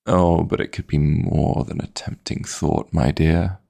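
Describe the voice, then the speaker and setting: A man voicing a possessive, flirty yet tender dream demon lover in an intimate ASMR sleep-aid roleplay, coaxing in a husky, breathy voice with formal endearments. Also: a bass voice, fairly even intonation, measured pace, warm tone, full character voice. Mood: seductive, soothing. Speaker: audio roleplay performer.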